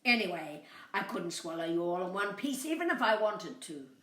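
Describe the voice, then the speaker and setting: A woman's voice: fairly low pitch, big pitch swings, normal speed, fairly bright tone, roomy sound. A woman voicing a hungry, menacing but gullible male coyote with a growling, blustering manner for a children's storybook. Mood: indignant.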